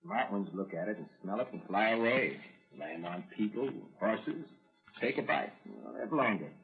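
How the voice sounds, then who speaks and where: An actor playing an easygoing, laconic young American shop worker, speaking in terse colloquial lines in an old-time radio drama. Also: male voice, fairly low pitch, expressive, normal speed, soft background music. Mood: easygoing, dry. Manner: terse, colloquial, plain-spoken. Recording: tinny, narrow-band vintage radio recording.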